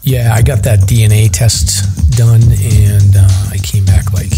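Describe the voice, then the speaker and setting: A man's voice: fairly low pitch, steady tone, a little slow, over loud music. A middle-aged man, hosting a podcast in casual, rambling chat. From Massachusetts. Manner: chatty, rambling with fillers. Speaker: podcast host.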